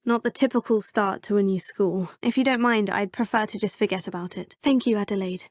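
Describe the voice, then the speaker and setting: A woman's voice: medium pitch, brisk, no echo, studio quality, natural voice. An actress voicing a polite young witch student. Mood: polite, curious. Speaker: player character. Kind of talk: video game voice clips. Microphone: close studio mic.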